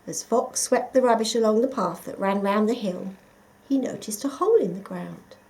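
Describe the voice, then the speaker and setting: A woman reading a children's picture book aloud, with expressive, dramatic emphasis for young listeners. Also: medium pitch, normal speed. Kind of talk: expressive scripted story narration.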